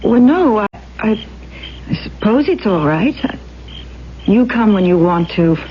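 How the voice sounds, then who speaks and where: An actress playing an eager, romantic young stage hopeful, earnest and hesitant, in an old-time radio drama. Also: female voice, medium pitch, expressive, unhurried, close to an everyday voice. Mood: charmed, curious. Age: young adult. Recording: dull, noisy old radio recording.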